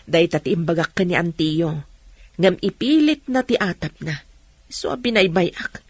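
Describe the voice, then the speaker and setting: An actress playing a calm, trusting mother of grown sons in a radio drama, patient and questioning. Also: fairly low pitch, unhurried, husky, dry recording. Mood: gently probing.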